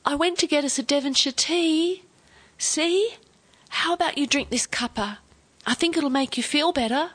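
Storybook granddaughter, voiced by a woman: young, caring, hesitant, gently worried. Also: high voice, normal speed, voice pushed up. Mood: gentle, hesitant.

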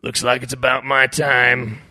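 Man voicing a gravelly, resigned big shrimp. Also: medium pitch, flat delivery, sharp tone, no room sound, heavily acted. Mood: resigned, philosophical. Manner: slow, resigned, pontificating. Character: large, weary, philosophical old-timer male shrimp.

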